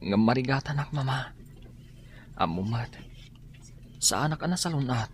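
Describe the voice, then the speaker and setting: An actor playing a sickly, despondent young student and dutiful son in an Ilocano radio drama, speaking in a plaintive, weary way. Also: male voice, mid-range voice, animated, unhurried, slight background noise, natural voice. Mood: pleading, dejected.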